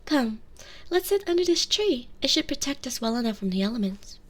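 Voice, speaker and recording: Woman acting a high-voiced, lovelorn young princess. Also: very animated, a little fast. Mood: playful, fond. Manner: soft, tender, playful, breaking into whispers. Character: sweet lovelorn young princess, wry, trapped in betrothal. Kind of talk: intimate ASMR roleplay acting.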